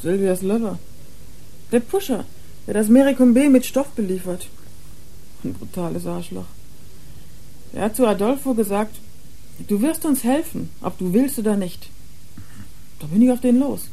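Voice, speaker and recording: Young female drug user in a radio crime play, frank and defiant, soft dark tone. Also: mid-range voice, lively intonation, normal speed, pauses often, poor audio. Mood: confiding, upset. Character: worn young drug-using woman, confiding and defiant. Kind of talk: German radio crime drama acting.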